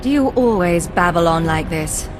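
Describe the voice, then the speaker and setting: A woman's voice: lowish voice, expressive, moderate pace, music in the background, natural voice. A woman voicing a cool, sardonic spy. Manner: dry, cool, cutting. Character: cool, sardonic female secret agent. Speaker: undercover imperial agent. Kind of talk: video game cutscene acting.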